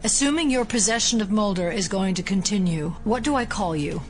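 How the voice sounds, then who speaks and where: Actress as female investigator, medium pitch, wary, analytical, clinical, faint music, audio drama. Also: expressive, lightly acted. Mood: wary, probing. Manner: measured, clinical. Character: wary, analytical woman investigator questioning an alien.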